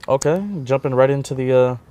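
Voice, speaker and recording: A man's voice: medium pitch, little pitch movement, a little fast, mellow, smooth. Upbeat young man hosting a show. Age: young adult. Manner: upbeat, jokey catchphrases. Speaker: host. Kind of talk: college TV interview hosting. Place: small TV studio set.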